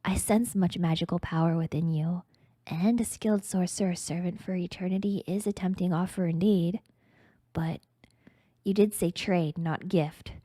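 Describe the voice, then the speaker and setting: A woman's voice: fairly low pitch, fairly even intonation, normal speed, warm tone, dry recording, lightly acted. A woman voicing a haughty, menacing undead male warden with a sinister, condescending, hissing manner in a story reading. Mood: sly, haughty.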